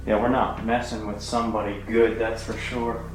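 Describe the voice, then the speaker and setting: A man hosting a paranormal investigation, speaking tensely and urgently and calling out to a spirit in a dark house. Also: lowish voice, flat delivery, moderate pace, noisy background. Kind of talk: ghost-hunting commentary. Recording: roomy echo, faint ambience.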